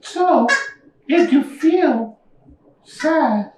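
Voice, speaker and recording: A woman voicing a young, squeaky monster puppet telling stories in a simple, childlike way at a live puppet show. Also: expressive, drawn-out words, warm tone, heavily acted. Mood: friendly. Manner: childlike, simple storytelling to an audience. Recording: echoey room, slightly distant mic.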